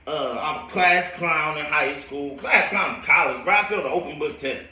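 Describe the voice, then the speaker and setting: A man in his late twenties with an American accent talks like a coach swapping stories, loose, slangy and repetitive. His voice is slightly high and has a bright, punchy edge. It sounds picked up from a little way off the microphone. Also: moderate pace. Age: late 20s. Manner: slangy, rambling, repeats phrases, acts out quotes. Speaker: football coach telling his own stories to viewers. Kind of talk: casual storytelling livestream. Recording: roomy echo, distant from mic.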